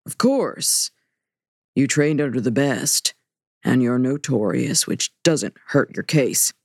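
A woman voicing a brisk, upbeat middle-aged male TV producer with a hearty baritone. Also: a little mellow, dry recording, clean studio sound, full character voice. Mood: businesslike. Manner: businesslike, upbeat. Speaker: audiobook narrator voicing a character.

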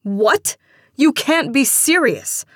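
A woman voicing an angry, bitter and dismissive jilted woman in an audiobook story, with a sharp, biting delivery. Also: fairly low pitch, takes it slowly, no echo, clean studio sound. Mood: frustrated, angry.

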